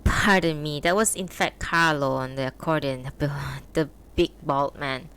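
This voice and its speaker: A woman's voice: low voice, unhurried, a bit bright, clean tone, pitched below the performer's own voice. A woman voicing a polite, modest young male musician who speaks deferentially.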